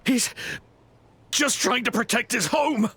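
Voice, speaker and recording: An actor playing a weary older father in a video game, pleading in a gentle, halting way. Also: very high voice, vocal fry, a little hiss or hum, heavily acted. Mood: pleading, sorrowful.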